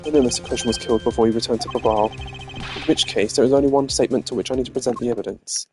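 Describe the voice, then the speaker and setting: A man's voice: medium pitch, fairly even intonation, racing, darkish tone, faint music behind, close to an everyday voice. A man voicing a determined, composed prosecutor in a video game, speaking formally and articulately.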